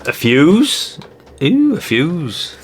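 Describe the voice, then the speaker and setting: A man's voice: on the high side, huge pitch swings, drawn-out words, slight background noise. An older man chatting in a rambling way, thinking aloud through a casual hands-on product review. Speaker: radio hobbyist reviewer.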